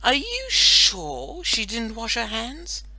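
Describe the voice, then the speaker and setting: A woman's voice: fairly high pitch, wildly swooping pitch, takes it slowly, pushed and bright, dry recording, pitched above the performer's own voice, heavily acted. A woman voicing an earnest, doubtful schoolgirl in a children's audiobook, questioning with careful emphasis.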